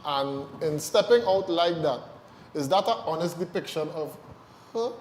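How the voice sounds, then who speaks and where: A man's voice: on the high side, lively intonation, measured pace, darkish tone, reverberant, big-room sound, slight background noise. A man co-hosting a casual talk show, trading jokes and stories. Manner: joking, storytelling banter. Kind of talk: casual chat.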